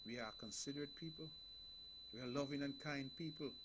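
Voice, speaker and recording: A man's voice: medium pitch, brisk, noisy background. A Nevisian man speaking as a politician on the radio, in an emphatic, repetitive style with a preaching cadence.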